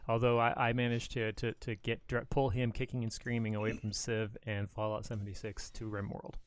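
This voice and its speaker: A man's voice: lowish voice, level tone, brisk, slight background noise. A man hosting a casual livestream, friendly and joking as he leads the conversation. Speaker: stream host.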